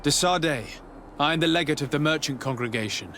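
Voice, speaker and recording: A man acting a courteous, composed noble diplomat with a formal, measured manner in a video game. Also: a little high, pushed and bright, a little hiss or hum.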